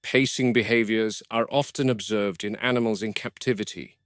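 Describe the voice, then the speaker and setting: An actor playing a gruff, wary game warden, speaking in a measured, sardonic way as if recording a log for a found-footage horror film. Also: male voice, lowish voice, fairly even intonation, a bit bright, no room sound, clean studio sound, natural voice. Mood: measured, clinical.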